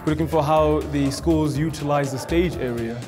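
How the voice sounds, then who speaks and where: A man's voice: fairly high pitch, steady tone, normal speed, music in the background. A man giving an interview as a judge, informally listing what he looks for. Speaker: festival adjudicator.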